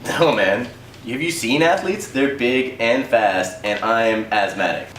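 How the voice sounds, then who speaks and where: A young man co-hosting casual satirical banter with a deadpan, ironic manner. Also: mid-range voice, measured pace. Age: young adult. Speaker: co-host of a campus humour paper's show. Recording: roomy, distant mic, faint noise.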